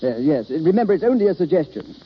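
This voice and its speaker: Pompous, swooping, vain stage star. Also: male voice, fairly high pitch, big pitch swings, quick pace, slightly rough. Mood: condescending. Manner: grandiose, self-important. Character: vain, pompous leading stage actor, a man. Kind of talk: 1930s American radio acting. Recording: muffled old radio broadcast.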